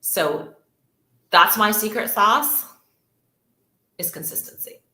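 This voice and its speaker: A woman's voice: mid-range voice, slow, bright, forceful tone, reverberant. A woman, a real estate agent chatting casually and upbeat to livestream viewers, repeating words for emphasis.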